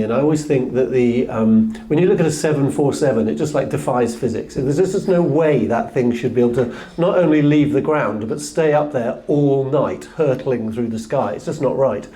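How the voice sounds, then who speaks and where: Mellow-voiced man, halting panel talk. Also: medium pitch. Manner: self-deprecating, stumbling restarts. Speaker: panelist, CTO.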